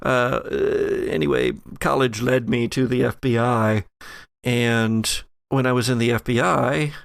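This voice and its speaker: A man plays an ex-FBI scholar of the occult in a tabletop horror game, knowing and offhand. His voice is very gravelly and creaky, with halting explanations and a close, dry sound. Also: mid-range voice, fairly even intonation, no room sound. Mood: dry, defensive.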